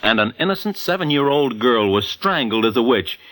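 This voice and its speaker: A man's voice: medium pitch, very animated, brisk, a bit bright, rough recording. An American man hosting in an emphatic, polished 1940s newsreel style on vintage radio. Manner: dramatic, emphatic, polished announcer delivery. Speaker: radio host and commentator.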